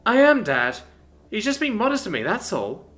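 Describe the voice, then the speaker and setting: A man's voice: sits high, big pitch swings, brisk, pitched above the performer's own voice, heavily acted. A man reading a story aloud, voicing a playful young woman who calls out and bickers with her father. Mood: sulky. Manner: bright, raised, exclaiming. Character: playful young woman, daughter, quick to scold.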